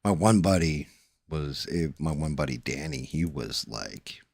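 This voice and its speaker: A man's voice: a bass voice, lively intonation, a little slow, creaky, darkish tone, breathy edge, no room sound. A man hosting a casual livestream chat, blunt and swearing freely, often restarting his sentences. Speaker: livestream host. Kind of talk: casual chat while 3D printing and painting.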